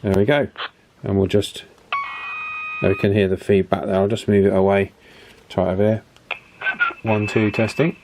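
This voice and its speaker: Low-pitched man talking through a repair. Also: level tone, background noise. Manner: unhurried, thinking aloud. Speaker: host of a radio repair channel. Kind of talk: casual hands-on repair demonstration, talking through each step.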